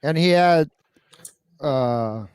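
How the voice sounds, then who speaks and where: A man's voice: fairly high pitch, very slow, darkish tone, clear, resonant tone, dry recording. A man in his thirties from Kazakhstan whose first language is Russian, chatting casually and hesitantly on a stream, with many fillers and restarts. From Kazakhstan (then USSR). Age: 30s.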